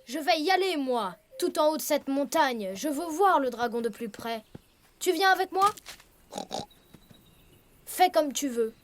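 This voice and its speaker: A woman's voice: sits high, expressive, unhurried, long pauses, slight background noise. A woman voicing a childlike young male tiger cub in a children's cartoon, boastful and sulky in manner. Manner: childlike, indignant, bragging. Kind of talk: children's cartoon dub acting. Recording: music behind the voice.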